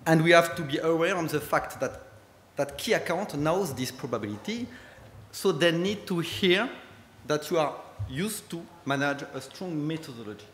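A man with a strong French accent giving a conference talk in halting English, with many fillers. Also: fairly high pitch, lively intonation, a bit bright. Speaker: conference speaker, company head. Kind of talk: conference presentation. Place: large conference hall. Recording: echoey hall with long reverb.

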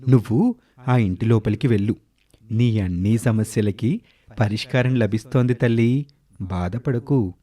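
An actor playing a kindly holy man who speaks in a calm, fatherly way in a moral tale. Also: on the low side, a little slow, pauses often. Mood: reassuring. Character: kindly holy man swamiji consoling a young girl. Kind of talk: dramatised moral storytelling.

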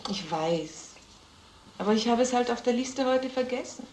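A woman's voice: on the high side, animated, normal speed, darkish tone, close to an everyday voice. An actress playing an anxious, strict mother and housekeeper, earnest and plain-spoken. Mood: defensive. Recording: echoey film sound, faint background noise.

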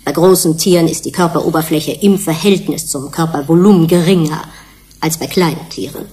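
An actress playing an earnest scientist in a German radio drama, lecturing colleagues in a measured, precise, didactic way. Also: female voice, low voice, a little mellow, husky. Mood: earnest, explanatory.

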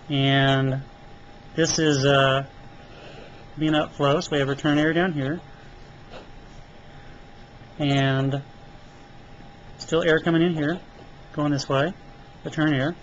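A man explaining HVAC airflow in a casual, halting way while he draws diagrams, with lots of fillers. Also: a little high, highs cut off, a little hiss or hum. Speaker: HVAC technician. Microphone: camera filming paper close up.

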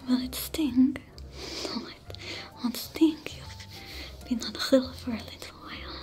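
A young woman voicing a gentle alien smuggler who tends wounds, whispering softly and slowly with a French accent. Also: high-pitched. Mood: soothing whisper. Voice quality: soft breathy whisper. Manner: slow, soothing and caring. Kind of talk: whispered ASMR roleplay. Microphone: close to camera. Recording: whisper over loud music.

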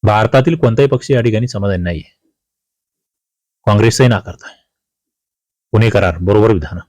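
A man's voice: fairly low pitch, normal speed, darkish tone, no echo. A man with a Maharashtrian accent teaching an exam-prep history quiz, addressing students emphatically, repeating key questions and answers with colloquial asides. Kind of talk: quiz-style history lesson, talking to students.

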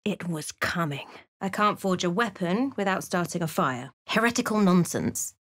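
An actress playing an observant, wry woman adventurer in a fantasy video game, with measured, dramatic storytelling delivery. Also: fairly low pitch, expressive, fairly bright tone, no echo, clean studio sound. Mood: tense, hushed. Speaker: voice actor for a fantasy role-playing game companion or player character. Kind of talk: scripted video game voice lines, narration and character remarks.

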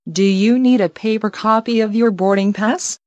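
A woman reading English travel phrases one by one, slowly and carefully, for learners to repeat. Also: mid-range voice, steady tone, a little mellow, no echo, muffled recording. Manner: careful, evenly paced, each phrase read alone. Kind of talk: slow clear phrase repetition for learners.

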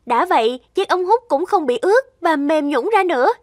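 A woman's voice: high voice, expressive, moderate pace, darkish tone, no echo, studio quality. A woman playing a supportive, curious wife in a cartoon, speaking expressively. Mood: pleased. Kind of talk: cartoon dubbing.

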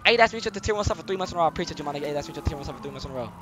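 A young man live-streaming a role-play game, talking in excited, boastful, repetitive street slang with lots of swearing. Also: fairly high pitch, rapid-fire, noisy background.

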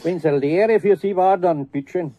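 A man with a Bavarian accent playing a gruff, good-natured older master carpenter in a 1970s German radio play, blunt and folksy. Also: sits high, normal speed, muffled recording, slight background noise, natural voice. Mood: stern, forgiving.

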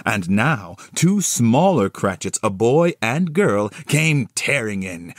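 A man giving theatrical storybook narration, expressive and dramatic with measured phrasing. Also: medium pitch, husky, dry recording. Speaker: narrator and solo voice actor.

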